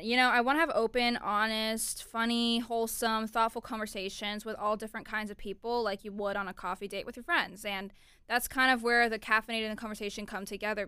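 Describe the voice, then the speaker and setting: A woman's voice: fairly high pitch, sharp tone, clear, resonant tone, no echo, studio quality. A twenty-year-old woman from Florida hosting her own podcast in a chatty, upbeat way, often saying "like" and "you know". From Orlando, Florida, USA. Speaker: podcast host. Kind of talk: casual solo podcast chat.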